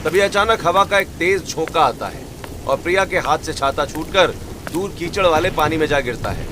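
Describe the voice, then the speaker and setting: A man's voice: fairly high pitch, lively intonation, normal speed, heavy background noise. A man narrating a rainy cartoon story.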